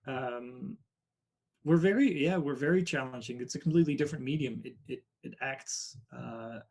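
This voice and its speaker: A man with a Dutch accent answering interview questions thoughtfully over a video call, often trailing off quieter. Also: medium pitch, normal speed, vocal fry. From Netherlands. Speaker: guest artist.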